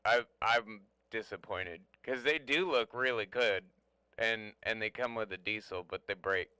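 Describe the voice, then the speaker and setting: A man's voice: on the low side, unhurried, bright, forceful tone. A man talking casually over CB radio, blunt, opinionated and given to swearing. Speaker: CB radio operator chatting. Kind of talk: casual chat about trucks. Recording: very noisy CB radio with clicks.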